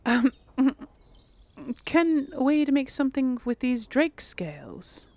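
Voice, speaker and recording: A woman's voice: high voice, big pitch swings, takes it slowly, darkish tone, no echo. A woman hosting a casual gaming video, chatting and thinking aloud as she role-plays. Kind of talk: casual role-play game commentary.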